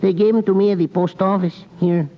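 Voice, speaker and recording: A man's voice: high voice, steady tone, a little fast. An actor playing a naive, cheerful Mexican handyman in old-time radio comedy, with a stage Mexican accent and broken English. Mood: cheerful patter. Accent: Mexican Spanish, stage version. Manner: broken English, joke-telling patter. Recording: muffled old radio, hall echo, faint noise.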